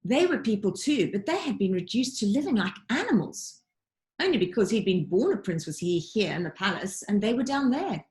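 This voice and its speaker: Female storybook narrator, mid-pitched, teacher reading aloud to children with expressive, deliberate dramatic emphasis.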